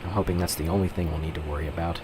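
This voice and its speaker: A man playing an earnest, dutiful young police officer in an amateur radio drama, measured and by-the-book. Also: sits low, steady tone, natural voice. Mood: cautious, dutiful. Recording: clearly noisy, vehicle-like background ambience.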